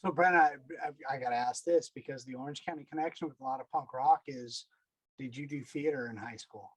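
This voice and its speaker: A man's voice: medium pitch, fairly quick, slightly rough, no room sound, low-quality recording. A man hosting a casual interview and asking questions in an easygoing way. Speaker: podcast host.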